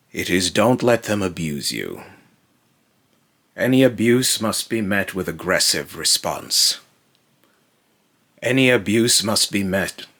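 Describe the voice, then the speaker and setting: A man voicing a brooding otherworldly being in a slow, halting, grave monologue, raspy and breathy, warning darkly about mortals. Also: low voice, sharp tone, full character voice. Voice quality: raspy, breathy, lapsing into harsh whisper. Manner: slow, halting, repeats phrases, ominous and grave. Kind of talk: dark dramatic monologue.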